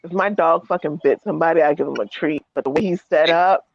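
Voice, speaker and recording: High gravelly-voiced man. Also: fast, highs cut off. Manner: short interjections, crude jokes. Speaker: livestream co-host. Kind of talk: casual banter on a live stream.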